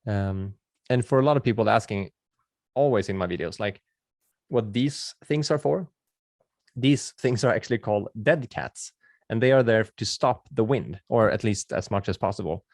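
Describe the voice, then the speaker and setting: Low, gravelly Swedish-accented man. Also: moderate pace, a little mellow, no echo, clean studio sound. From Sweden. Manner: friendly and chatty, with frequent restarts and filler words. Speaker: videographer hosting a webinar. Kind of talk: casual webinar talk answering viewer questions.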